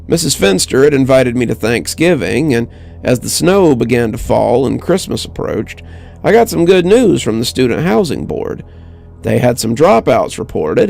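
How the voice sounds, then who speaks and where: A man reading a scripted first-person horror story in a measured, dramatic way with deliberate pauses. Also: medium pitch, creaky, a little mellow. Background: music under the voice.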